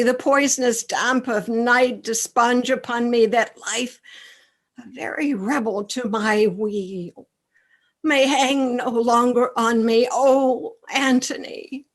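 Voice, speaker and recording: Slow, anguished remorseful soldier acted by a woman. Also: a little high. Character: Enobarbus, remorseful soldier who deserted his master. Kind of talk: theatrical Shakespearean radio play acting.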